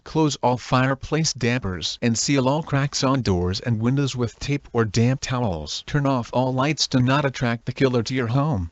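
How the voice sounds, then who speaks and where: A man's voice: medium pitch, no room sound, highs cut off, natural voice. A synthetic male text-to-speech voice playing a stern boss who reads emergency alerts in a comedy skit. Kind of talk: formal scripted emergency announcement.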